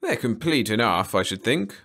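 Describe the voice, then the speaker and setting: A man voicing a shrewd, sardonic father in a story, brisk, direct and skeptical. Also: medium pitch, very animated, a bit bright, slightly rough, heavily acted.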